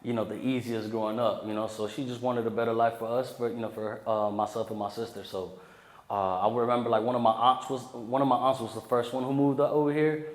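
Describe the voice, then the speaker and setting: A man's voice: medium pitch, steady tone, fast. A man from Puerto Rico, a guest on a podcast, telling his story in casual chat with many fillers. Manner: laid-back, many "you know" fillers. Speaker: podcast guest, tax preparer. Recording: roomy echoey hall.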